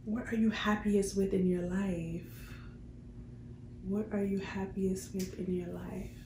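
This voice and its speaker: A woman's voice: mid-range voice, flat delivery, slow pace, a little mellow. A young adult woman, chatting casually to viewers as a vlogger answering questions. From Queens, New York, United States. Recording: roomy echo, faint background noise.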